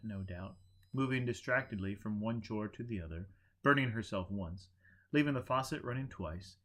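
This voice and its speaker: A man's voice: on the low side, fairly bright tone. A man reading a story aloud in a scripted narration style, as an audiobook reader.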